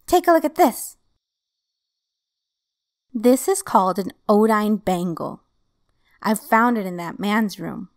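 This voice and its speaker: A woman's voice: mid-range voice, lively intonation, slow pace, long pauses. A woman voicing a headstrong, eager young female rebel in a video game fan dub.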